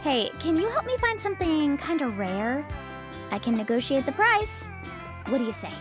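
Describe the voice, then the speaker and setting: A high-voiced, breezy young guide, confident and very animated. It is anime game acting with music playing behind. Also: female voice, moderate pace. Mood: playful.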